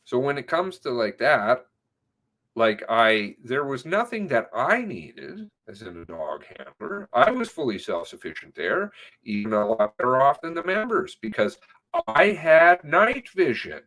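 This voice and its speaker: A man reads conversational testimony aloud at an unhurried pace. His pitch sits in a middle range but moves widely, and he leans on certain words to stress them. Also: very animated, sharp tone. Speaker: narrator reading an interview transcript aloud. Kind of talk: read-aloud of spoken interview transcript with commentary.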